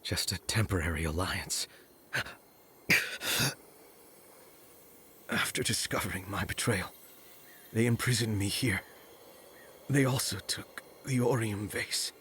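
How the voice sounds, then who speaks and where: A slow, breathy, weary prophet. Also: male voice, lowish voice, a bit bright, slight background noise. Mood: weak, grave. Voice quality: breathy, often dropping to a whisper. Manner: slow, halting, grave. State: coughing, weakened. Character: weary imprisoned male prophet bent on revenge. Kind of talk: dramatic video game cutscene acting. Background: prominent music.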